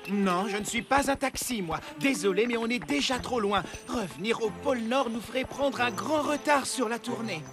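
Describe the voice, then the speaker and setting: A woman's voice: on the high side, animated, normal speed, few pauses, close to an everyday voice. A woman playing a talking reindeer sidekick in a French cartoon, dry, teasing and reassuring. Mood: dry, firm. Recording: music behind the voice.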